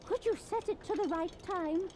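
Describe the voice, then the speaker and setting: Game nun clockmaker: high-voiced, animated, muddled and kindly, slightly deaf, some background ambience. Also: female voice, moderate pace, a little hiss or hum. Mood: busy, distracted. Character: elderly-seeming, slightly deaf nun clockmaker, gentle and absorbed in repairs. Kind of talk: video game character dialogue.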